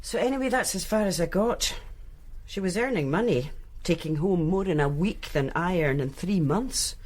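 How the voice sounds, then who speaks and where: A young policewoman in a naturalistic radio drama. Her voice is husky and she speaks with wry, intimate tenderness. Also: fairly low pitch, lively intonation, normal speed, a little mellow. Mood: thoughtful. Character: young lowly-ranked policewoman, wry, tender, discreet lover.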